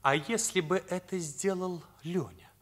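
A man's voice: high-pitched, measured pace, a bit bright. An actor playing a troubled, sincere ninth-grade schoolboy in a radio drama, earnest, searching and hesitant in his delivery. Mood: challenging. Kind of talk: Soviet radio drama acting.

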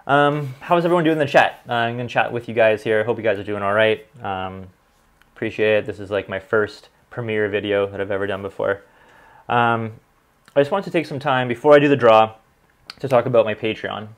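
A man in his thirties with a Canadian accent talks in a relaxed, chatty way, filling gaps with ums and likes. His voice sits a little low. He speaks from a desk in a small home studio. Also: brisk. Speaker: whiskey reviewer and channel host. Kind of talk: casual vlog, reading comments and tasting.

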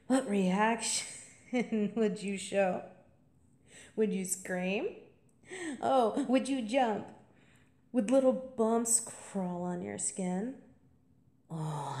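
A woman playing a confident, playful college senior in an intimate, seductive audio roleplay monologue, teasing and at times breathy. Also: mid-range voice, drawn-out words, long pauses, warm tone, echoey room. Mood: teasing, flirty.

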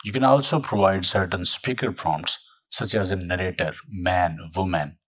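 A man narrating a software tutorial in a steady, scripted, explanatory way. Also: low-pitched, moderate pace, breathy edge, no echo.

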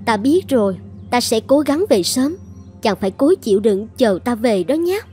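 A proud young dragon goddess played by a woman with a high voice. She speaks theatrically and clearly, like a cartoon fairy-tale wife, over faint music. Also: expressive, moderate pace, dark tone, lightly acted. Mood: caring. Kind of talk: animated fairy tale dubbing.

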